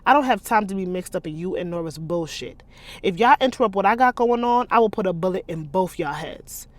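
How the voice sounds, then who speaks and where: A woman voicing a fearless, sharp-tongued female criminal in a novel, with an American accent and blunt, bossy scorn. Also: medium pitch, expressive, brisk, no room sound, lightly acted. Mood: threatening.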